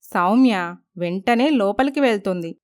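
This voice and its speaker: A woman's voice: medium pitch, expressive, a little slow, a little mellow. A woman reading scripted narration for a moral story.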